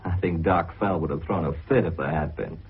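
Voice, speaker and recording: An actor playing a composed narrator who recounts a mystery in a radio drama, chatty, orderly and confiding. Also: male voice, deep voice, brisk, breathy edge. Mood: confiding, intrigued. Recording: muffled, phone-like old radio recording.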